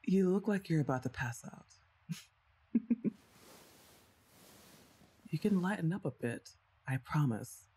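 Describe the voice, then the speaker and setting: A woman's voice: sits low, slightly rough, heavily acted. A woman playing a teasing young older sister. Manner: playful, teasing, soft-spoken. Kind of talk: ASMR roleplay.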